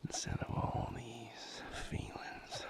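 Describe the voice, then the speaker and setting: A man playing an intense, brooding vampire lover in an intimate audio roleplay, speaking in a gravelly, breathy near-whisper. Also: natural voice. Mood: intimate, coaxing. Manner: slow, intimate, emotionally intense.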